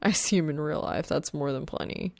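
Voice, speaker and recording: Woman voicing muttering, exasperated young man gamer, creaky. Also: low-pitched, fairly quick, no room sound, pitched below the performer's own voice. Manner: muttering, wheezy, deadpan.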